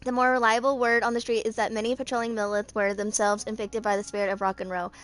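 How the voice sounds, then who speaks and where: A woman's voice: fairly high pitch, little pitch movement, fast. A woman in her twenties hosting a gaming channel, reading character stories aloud with casual asides, tripping over words and rereading them. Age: 20s.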